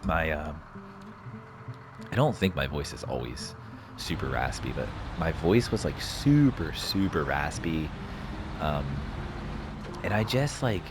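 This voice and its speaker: A man's voice: a bass voice, animated, slow, long pauses, over music. A man giving casual, off-the-cuff commentary as he plays a game, rambling hesitantly and repeating himself. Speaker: gaming video host.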